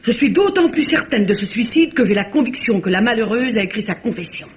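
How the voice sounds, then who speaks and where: An actress playing a nosy, self-assured sister in a radio mystery, speaking in an emphatic, insinuating and sententious way. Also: female voice, a little high, expressive, fairly quick, natural voice. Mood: knowing, insistent. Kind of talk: French radio drama acting.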